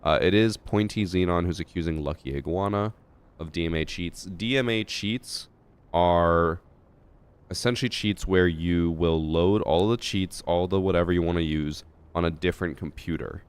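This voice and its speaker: Deep, smooth-voiced male streamer. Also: normal speed. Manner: casual, thinks aloud with fillers and self-corrections. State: stuffy head cold. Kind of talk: casual live-stream chat about a shooter game.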